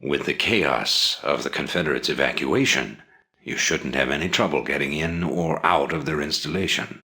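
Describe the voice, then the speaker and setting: An extremely deep-voiced rebel commander from a sci-fi game. He is calculating and authoritative, delivering orders with measured formality and a bright, forceful edge. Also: heavily acted. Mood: grave, commanding. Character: commanding, calculating older male rebel leader. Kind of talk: video game mission briefing.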